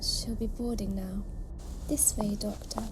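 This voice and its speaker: A woman's voice: medium pitch, steady tone, measured pace, noisy background, close to an everyday voice. A woman in an audio drama playing a haunted, devout alien nurse who speaks solemnly and often slips into a whisper. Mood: resigned. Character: haunted alien nursing sister, eerie, devout, enthralled.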